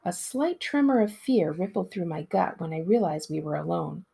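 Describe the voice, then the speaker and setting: Female storybook narrator, librarian read-aloud style: expressive delivery, lower register, strongly raspy. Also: moderate pace. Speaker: school librarian reading aloud.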